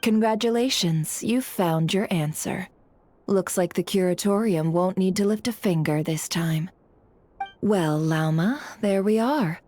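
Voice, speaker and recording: A woman's voice: on the low side, unhurried, a little mellow, a little hiss or hum, natural voice. A woman playing a shrewd, coolly self-assured information broker in a video game, teasing and knowing, fond of pointed rhetorical questions. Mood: smug. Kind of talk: video game character acting.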